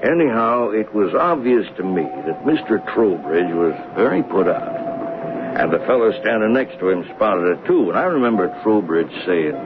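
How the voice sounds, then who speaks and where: An actor playing a talkative train conductor who recounts a murder in a folksy, musing way for a 1970s radio drama. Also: male voice, lowish voice, expressive, moderate pace, lightly acted. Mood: musing, recounting. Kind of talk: 1970s radio drama acting, first-person narration. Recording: noisy tinny narrow-band old radio recording.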